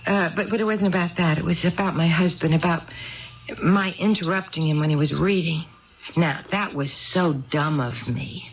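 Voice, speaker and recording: A woman's voice: lowish voice, moderate pace, close to an everyday voice. A dull, muffled seventies radio drama recording of a woman acting as a neglected housewife. She is eager and apologetic, chattering on in a hesitant, rambling way and often repeating herself. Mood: rueful, rambling.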